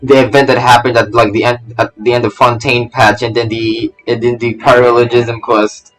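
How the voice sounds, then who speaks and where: A man's voice: medium pitch, little pitch movement, moderate pace, fairly bright tone, poor audio. Rambling man streaming a game, music behind. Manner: rambling, stammering, repeats phrases. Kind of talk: casual live gameplay commentary.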